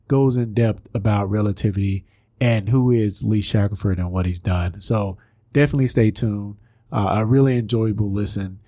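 A man's voice: medium pitch, mellow, no echo, clean studio sound. A man hosting a podcast, giving a casual, conversational introduction to an episode.

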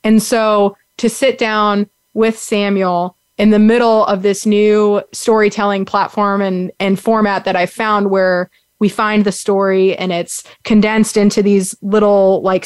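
A woman's voice: medium pitch, smooth, dry recording. A young adult woman hosting a podcast, chatting casually and upbeat, rambling with lots of "like" and "um". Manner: rambling, upbeat, many fillers like "like" and "um". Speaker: podcast host. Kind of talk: casual chat recap. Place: sitting on the ground.

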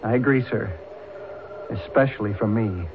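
An actor playing a proud, bitter, sensitive young gentleman who speaks gravely and formally in an old-time radio drama. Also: fairly low pitch, normal speed, over music, close to an everyday voice. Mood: bitter, brooding. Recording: narrow-band, noisy old radio recording.

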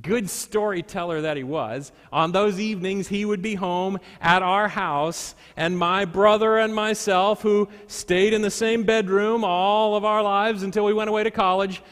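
A male pastor preaching a sermon, reading scripture and telling stories with deliberate, dramatic pauses and emphasis. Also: high-pitched, steady tone, a bit bright, clean tone. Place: large church sanctuary. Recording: echoey hall with long reverb.

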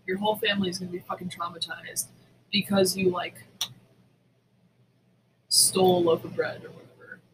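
A woman with a bright, forceful voice talks casually as a podcast co-host. She is opinionated and indignant, leaning hard on repeated words and swearing freely. There is faint noise behind her. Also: lowish voice, poor audio.

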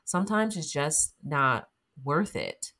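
A woman's voice: low voice, sing-song, slow, a bit bright. A woman guest talking in a candid, emphatic way in a casual interview, swearing freely.

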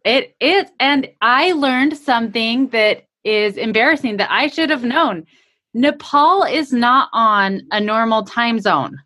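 A woman's voice: on the high side, takes it slowly, sharp tone. Chatty woman hosting a podcast. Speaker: podcast host and interviewer. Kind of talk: podcast interview, casual chat.

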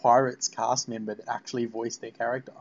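A man's voice: mid-range voice, flat delivery, brisk. Casual male interviewer on slightly dull audio. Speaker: interviewer, podcast host. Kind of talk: casual fan interview chat.

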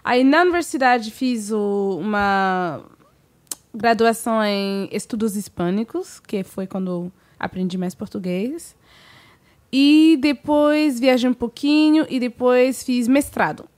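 A woman in her thirties from Montreal, a singer being interviewed, speaking in a soft, measured way. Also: on the high side. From Montreal, Canada. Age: 30s. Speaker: guest singer. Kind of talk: interview conversation.

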